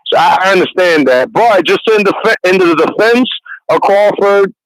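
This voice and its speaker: A man's voice: high-pitched, expressive, normal speed, no echo, poor audio. A man in his twenties from New York City, calling in to chat casually and rambling with many restarts. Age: 20s. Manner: rambling, restarts often, laughs easily. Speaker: caller. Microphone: phone call.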